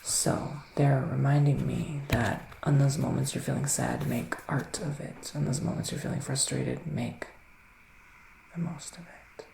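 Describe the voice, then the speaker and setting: Male ASMR psychic witch: hushed, often whispered, creaky low-energy delivery, very unhurried, intimate tarot-reading style. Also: expressive, reverberant, rough recording. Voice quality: soft, breathy, often dropping to a whisper. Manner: slow, deliberate, pausing, soothing delivery. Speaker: ASMR performer playing a psychic tarot reader.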